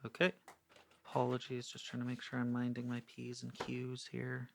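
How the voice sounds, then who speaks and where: A man's voice: medium pitch, completely flat delivery, normal speed, creaky, no room sound. A man acting as game master, casually narrating moves and rules in a hesitant, apologetic, think-aloud manner.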